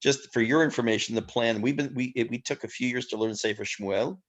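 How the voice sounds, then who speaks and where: Man teaching a Torah class, casual chatty delivery, lots of fillers, middle-pitched voice. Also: fairly quick. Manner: warm, effusive thanks with many fillers.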